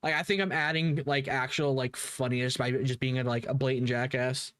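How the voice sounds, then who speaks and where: A man's voice: medium pitch, fairly even intonation, brisk, fairly bright tone, smooth, no echo, clean studio sound. A man giving blunt, mocking, profanity-laced reaction commentary in a casual style. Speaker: reaction video host.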